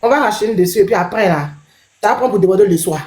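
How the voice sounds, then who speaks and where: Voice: medium pitch, very fast, a little mellow. A person in casual banter, recorded with a roomy echo. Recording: roomy, echoing sound.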